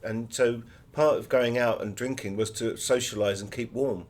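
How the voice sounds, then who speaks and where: A man's voice: mid-range voice, fairly even intonation, moderate pace. A man giving conversational interview answers as an author, full of anecdotes.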